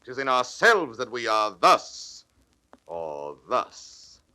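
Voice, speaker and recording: An actor playing a cynical, scheming young soldier villain in a classical audio drama, mocking and persuasive in a declamatory stage manner. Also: male voice, mid-range voice, wildly swooping pitch, slow, bright, forceful tone, clean tone, full character voice. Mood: mocking, scornful. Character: scheming cynical soldier, male villain, aged twenty-eight. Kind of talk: classical radio-play stage acting.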